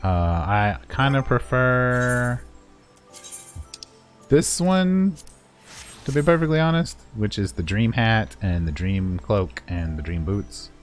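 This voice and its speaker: Male gaming narrator, lowish voice, playful and self-deprecating, off-the-cuff walkthrough delivery over music. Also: sing-song, slow pace. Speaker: gaming video creator and guide narrator. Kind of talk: casual, unscripted game walkthrough commentary.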